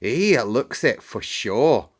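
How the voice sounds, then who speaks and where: Man putting on a chatty, higher-pitched housemaid. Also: sing-song, slow. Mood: teasing, admiring. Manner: broad rustic dialect, teasing. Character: chatty Yorkshire housemaid, young woman, warm and blunt. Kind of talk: storybook character reading.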